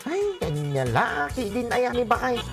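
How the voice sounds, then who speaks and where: Wildly animated, cheeky Ilocano husband. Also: male voice, extremely high pitch, measured pace, creaky, full character voice. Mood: cheeky, stubborn. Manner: wisecracking, exclamatory, argumentative. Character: cheeky, stubborn husband and grown son, joking glutton. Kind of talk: comedy radio drama acting. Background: faint music.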